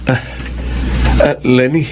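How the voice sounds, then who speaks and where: An actor in a Filipino radio drama playing a devoted, tender suitor, speaking earnestly and politely. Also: male voice, medium pitch, flat delivery, slow pace, natural voice. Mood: polite, eager. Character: devoted, tender suitor, returned from America to stay. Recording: clear background noise, vehicle ambience.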